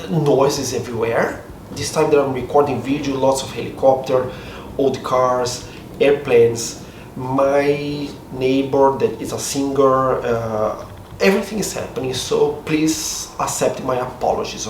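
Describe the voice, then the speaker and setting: A middle-aged man from Brazil with a Portuguese accent and a slightly hoarse voice, chatting upbeat to camera at home. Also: medium pitch. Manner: chatty, upbeat, self-deprecating. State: a bit hoarse. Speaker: vlogger. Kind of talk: casual talk to camera. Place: home room with outside noise. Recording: roomy echo, distant mic, faint street noise.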